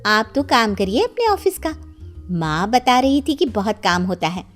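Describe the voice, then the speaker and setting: Casting: woman performs emotional, wheedling young sister-in-law, high lively voice, family moral tale. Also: moderate pace, faint music behind. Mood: sweet insistence.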